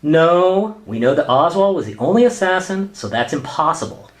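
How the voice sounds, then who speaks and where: A man's voice: fairly high pitch, sing-song, normal speed. A flustered, earnest government staffer played by a man in a radio sitcom. His voice leaps up and down as he protests and explains, exasperated and eager to make things add up. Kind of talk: sitcom radio play acting.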